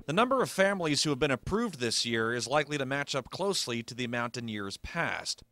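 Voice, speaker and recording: A man narrates a news package in a bright, forceful voice with lively intonation and a dry, close sound. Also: mid-range voice, a little fast, no room sound. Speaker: news reporter.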